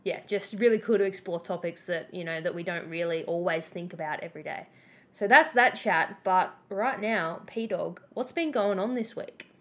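A woman co-hosting a podcast, chatty and teasing, talking in quick bursts. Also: lowish voice, fairly bright tone. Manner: bubbly and teasing, fast bursts. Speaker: podcast co-host. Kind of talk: casual chat.

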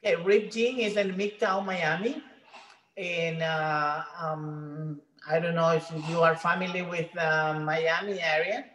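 A woman with a Venezuelan Spanish accent speaks halting, non-native English, often restarting her sentences. She is a gym trainer talking as an interview guest. Her voice rings with the echo of a reflective room. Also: on the low side, fairly even intonation, fairly bright tone, a little hiss or hum. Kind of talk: casual interview.

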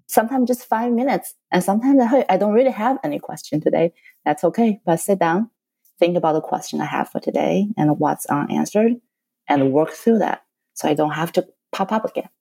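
A woman with a low, mellow voice whose first language is Chinese. She talks earnestly about her own experience, halting and repeating words as she finds her phrasing. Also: lively intonation, vocal fry, breathy edge. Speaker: coaching client. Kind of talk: reflective casual conversation.

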